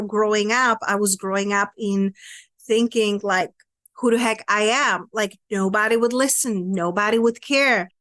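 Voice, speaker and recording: A woman's voice: fairly high pitch, fairly bright tone, dry recording. A woman in her thirties with a Czech accent, sharing her personal story earnestly and reflectively as an interview guest. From Czech Republic. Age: 30s. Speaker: podcast guest, women's empowerment coach.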